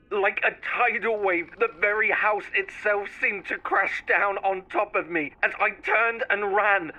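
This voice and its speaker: Male narrator for scripted horror fiction: slow, deliberate and theatrical, with a tense, bright tone. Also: sits high, highs cut off. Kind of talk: dramatic scripted first-person horror narration.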